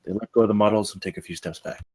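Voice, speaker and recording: Low-voiced man reading stage directions. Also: fairly even intonation, racing, warm tone, breathy edge. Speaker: stage directions reader at a table read. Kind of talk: screenplay narration read aloud.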